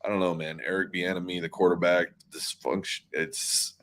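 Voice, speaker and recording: A man with a fairly low voice talks football casually as a show panelist. His delivery is halting, with words repeated as he works toward his point. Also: little pitch movement, a bit bright. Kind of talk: casual sports chat.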